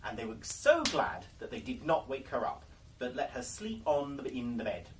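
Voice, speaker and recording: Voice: medium pitch, very animated, moderate pace, far from the mic, background noise. A storyteller narrating a fairy tale in a dramatic, theatrical storybook style.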